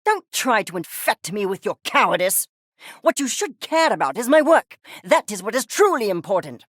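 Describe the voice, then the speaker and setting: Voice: medium pitch, very animated, normal speed, fairly bright tone, no echo, studio quality. An actor, sounding female, voicing an arrogant, obsessed mad-scientist creature in a video game, with pompous, clinical, precise diction. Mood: scornful. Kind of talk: theatrical game character acting.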